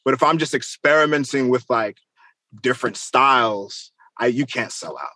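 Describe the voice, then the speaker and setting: A man's voice: mid-range voice, normal speed, bright, forceful tone, no room sound. A man chatting casually as an interview guest, loose, slangy and freely swearing. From Queens, New York, United States. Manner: slangy, profane, loose and conversational. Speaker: interview guest, rap musician.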